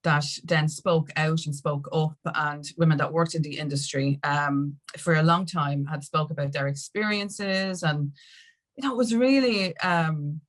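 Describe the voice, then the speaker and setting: A woman's voice: low voice, a little slow. An Irish woman telling her story as a podcast guest in casual conversation, acting out what people said as she goes. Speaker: podcast guest, music industry activist.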